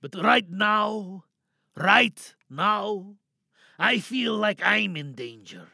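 A man playing a cynical, wary captive scientist in an audio drama monologue, sardonic and probing, bargaining with quiet menace. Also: high voice, animated, drawn-out words, creaky, no echo, studio quality, exaggerated voice. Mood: tense, bargaining. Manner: sardonic, probing, rhetorical questions.

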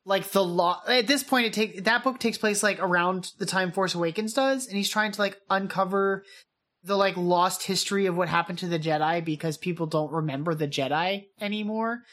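A woman's voice: fairly low pitch, normal speed, fairly bright tone. A woman co-hosting a podcast, chatting casually and enthusiastically. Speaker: podcast co-host. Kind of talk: casual chat.

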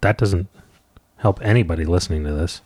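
Casual male podcast host, low and husky, dry wry delivery, coaching tone. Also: brisk, a little mellow. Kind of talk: casual chat with teasing commentary.

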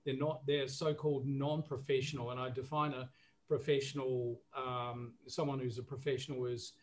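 A man's voice: mid-range voice, little pitch movement, moderate pace, slight background noise. A man in his sixties from New Zealand with a New Zealand accent, a podcast guest talking casually with many fillers and restarts. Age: 60s. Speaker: guest, recovery business owner and coach.

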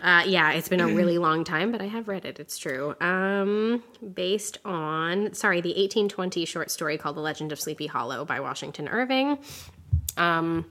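A woman hosts a relaxed book chat in a croaky, nasal voice that sits a little low. She is chatty and emphatic and laughs often. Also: normal speed. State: croaky, recovering from illness. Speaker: podcast host. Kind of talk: casual chat.

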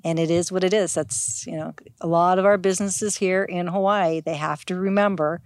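This is a middle-aged woman from Ohio who owns a winery, chatting in a casual, rambling way. Her voice sits a little low, and it is recorded close and dry. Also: steady tone, moderate pace, no echo. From Ohio, USA. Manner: chatty, laughs often, trailing restarts. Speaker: winery owner. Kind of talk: casual chat.

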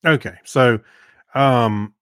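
A man with a medium-pitched voice talks casually as a podcast co-host. His delivery is halting and meanders as he gives informal reviews. The recording is dry and free of room sound. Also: a bit bright, clean tone, dry recording.

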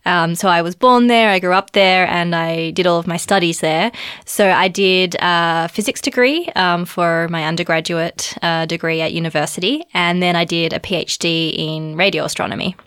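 Australian woman, thirties, podcast co-host; chatty with frequent ums, slightly low, dry close sound. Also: normal speed, fairly bright tone, smooth, no echo. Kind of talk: casual podcast chat.

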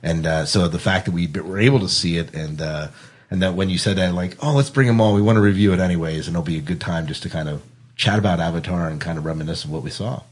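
A man hosts a podcast in an informal, chatty style. His voice sits a little low and has a husky, rough edge. He talks casually, as if among friends. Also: fast. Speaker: podcast host.

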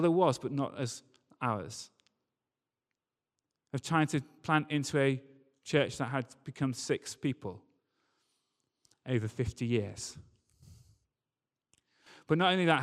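A man preaching a sermon to a congregation in an emphatic manner, using rhetorical questions and repetition. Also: medium pitch. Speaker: preacher, church leader. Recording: reverberant hall sound.

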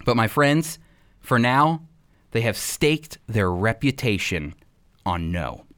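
A man's voice: mid-range voice, big pitch swings, slow pace, fairly bright tone. A man delivering prepared, persuasive commentary as a program host, emphatic and using rhetorical repetition.

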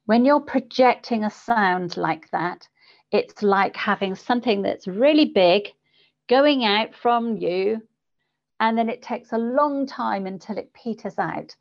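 A woman's voice: a little high, slow, creaky. Muffled recording of an instructive woman. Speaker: guest voice coach for public speakers. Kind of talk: explanatory interview talk with demonstrations.

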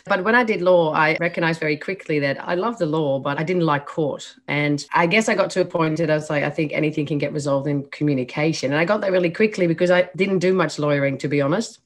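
A woman with a Dutch accent and a low voice speaks as a mediator being interviewed. She talks candidly and chattily, with a self-deprecating touch. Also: quick pace, hardly any pauses. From Netherlands. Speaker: mediator and trainer. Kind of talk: interview answers.

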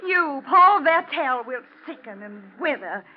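A woman's voice: high voice, lively intonation. An actress playing a vengeful wife who coldly taunts her murderous husband, theatrical and ominous, in an old-time radio thriller. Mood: cold menace. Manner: ominous, deliberate, mocking, theatrical. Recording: muffled, noisy old radio drama recording.

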